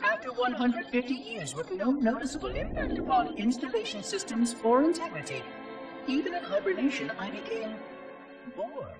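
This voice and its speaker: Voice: mid-range voice, wildly swooping pitch, moderate pace. An actor voicing a prim, fussy artificial intelligence monitor in a game cutscene, speaking formally and precisely as if musing to itself. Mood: troubled, musing. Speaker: installation monitor logging reports. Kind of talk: scripted game cutscene monologue. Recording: roomy echo, distant, music behind.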